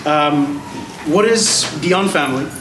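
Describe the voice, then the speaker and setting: A man hosting a gala interview, asking polite, measured questions. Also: a little high. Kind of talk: formal onstage interview. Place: large gala hall. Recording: echoey hall, heard from far off, noisy.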